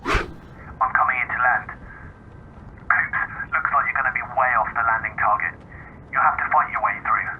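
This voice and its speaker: An actor playing a brisk, cocky soldier comrade who gives matey orders in a video game parody drama. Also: male voice, medium pitch, narrow, tinny audio, a little hiss or hum, natural voice. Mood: cocky, urging.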